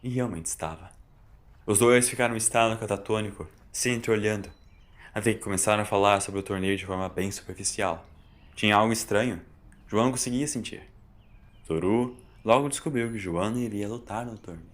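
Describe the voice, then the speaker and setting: A man's voice: fairly low pitch, a little fast. A man with a Brazilian accent reading a scripted, dramatic story as its narrator. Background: music.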